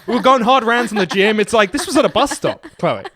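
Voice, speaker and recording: A man's voice: high voice, lively intonation, fast, pushed and bright. A man chatting casually and comically as a podcast guest, teasing and sarcastic with mock-grandiose turns.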